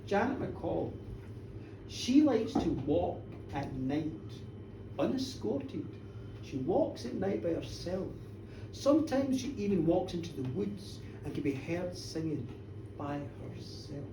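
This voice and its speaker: A storyteller voicing a self-righteous village woman who accuses others in a slow, pointed, sanctimonious way. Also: mid-range voice, very animated. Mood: accusing, hushed. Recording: roomy echo, distant mic, faint noise.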